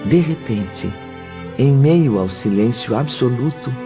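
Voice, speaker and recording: A man giving a slow, measured scripted narration of an audio drama. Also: mid-range voice, lively intonation. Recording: lo-fi with sad music.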